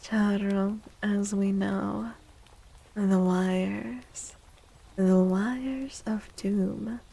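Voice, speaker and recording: A woman streamer chatting in soft-spoken ASMR style, gentle and unhurried, often slipping into a whisper. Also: mid-range voice, fairly even intonation, creaky, breathy edge. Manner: unhurried, gentle, pausing between short phrases. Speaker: livestream host.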